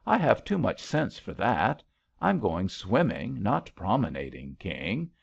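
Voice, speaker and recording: A man's voice: on the low side, big pitch swings, measured pace, vocal fry, husky, heavily acted. A man voicing a spirited, stubborn young woman in an audiobook love story, eager and direct. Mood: puzzled, indignant. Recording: slightly dull, lo-fi audiobook recording.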